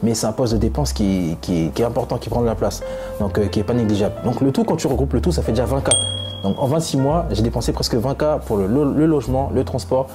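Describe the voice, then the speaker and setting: A man's voice: mid-range voice, quick pace, over music. A young French man talking casually to camera, emphatic and full of fillers and repeats, from France. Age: young adult. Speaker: vlogger.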